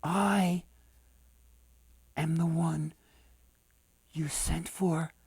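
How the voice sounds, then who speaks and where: A man voicing a gentle, wronged young maiden in a storybook tale, hushed and breathy. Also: on the high side, fairly even intonation, extremely slow, no echo, heavily acted. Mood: hushed, wounded.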